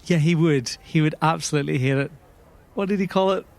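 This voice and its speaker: A man's voice: on the high side, fairly quick, creaky. A man playing an improvised tabletop game, bantering casually in character with a dry, wry delivery. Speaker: player in a tabletop roleplay podcast.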